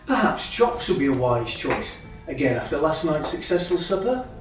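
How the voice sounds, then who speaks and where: Male actor as hopeful, teasing Victorian brother, formal and wordy, lightly high voice, recorded far off. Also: sing-song, normal speed. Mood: fond, amused. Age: 30s. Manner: formal, wordy, earnest phrasing. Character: kindly, teasing, hopeful brother in his thirties, Victorian gentleman. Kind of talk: stage-style literary radio drama acting. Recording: very echoey, distant, music behind.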